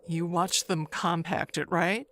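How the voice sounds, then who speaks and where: An actress playing Agnes as a chatty, nervous, wistful middle-aged neighbor in an audio mystery, halting and rambling. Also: female voice, fairly low pitch, steady tone, slight background noise. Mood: wistful gossip. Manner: halting, rambling, trailing into hushed musing. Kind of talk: dramatic monologue in an audio mystery play.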